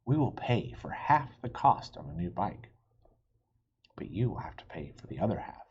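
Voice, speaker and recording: A man's voice: deep voice, very animated, muffled recording, a little hiss or hum. A man voicing a patient, reasonable father of a young girl in a children's storybook. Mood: patient, firm. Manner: patient, measured.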